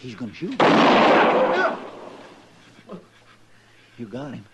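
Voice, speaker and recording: An actor playing a folksy, anxious, loyal deputy with an in an old-time radio drama. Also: male voice, medium pitch, very animated, fast talker, close to an everyday voice. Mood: alarmed. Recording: slightly noisy old radio recording.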